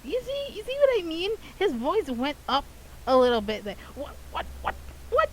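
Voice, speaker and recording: A woman giving casual, excitable reaction commentary to a game, often laughing. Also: high-pitched, moderate pace, a little hiss or hum, low-quality recording. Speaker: game streamer reacting to a story quest.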